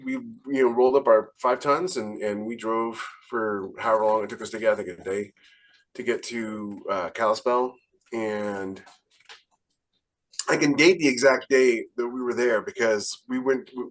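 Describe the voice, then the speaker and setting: A middle-aged American man telling casual, rambling stories on a podcast, often searching for details. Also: lowish voice. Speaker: podcast panelist and military veteran. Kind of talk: casual anecdotal storytelling.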